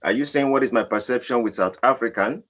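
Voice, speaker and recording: A man from Nigeria with a Nigerian accent, hosting a live stream and answering viewer questions in a deliberate, emphatic way. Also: fairly bright tone. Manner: deliberate, emphatic, rising to high emphatic bursts. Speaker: channel host.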